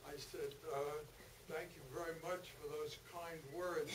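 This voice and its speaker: Elderly male storyteller, eighties, unhurried and halting, recorded from afar in a noisy theater. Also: on the high side, little pitch movement, reverberant. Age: 80s. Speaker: audience member, veteran stage actor. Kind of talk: storytelling anecdote to a live audience. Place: theater auditorium. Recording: distant theater mic, loud crowd laughter, heavy noise.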